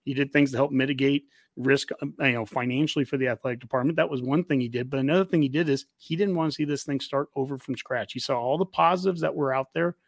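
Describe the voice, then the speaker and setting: A man's voice: medium pitch, fast talker, hardly any pauses, dry recording, studio quality. An American man hosting a casual sports fan discussion, repeating phrases emphatically to make his point. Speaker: sports fan podcast host.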